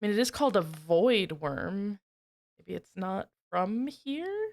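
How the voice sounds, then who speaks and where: Slow-paced woman pondering at the game table. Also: mid-range voice, big pitch swings. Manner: deliberate, thinking aloud. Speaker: player in a tabletop role-playing game. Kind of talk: casual game-table talk.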